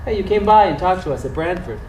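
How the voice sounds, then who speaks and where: A man's voice: high voice, lively intonation, fast, a little mellow. A man playing an earnest, conversational doctor near sixty, a courteous former geek, in a live stage play. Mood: nostalgic, teasing. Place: indoor theater stage. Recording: distant, echoey theater stage with noise.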